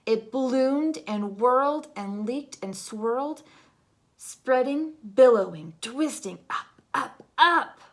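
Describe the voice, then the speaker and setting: A woman reading a picture book aloud to children in an expressive, dramatic way, moving between hush and big swells. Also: sits high, takes it slowly. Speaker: storybook reader.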